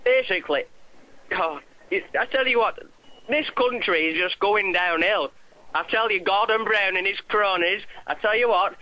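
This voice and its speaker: An indignant old man in his eighties, put on by a man for a prank call, with a high-pitched voice. He grumbles, repeats himself and rambles crossly, with the odd mild curse. The sound is a dull, lo-fi phone line. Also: natural voice. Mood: irritated, ranting. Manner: grumbling, rambling, repetitive, mildly sweary.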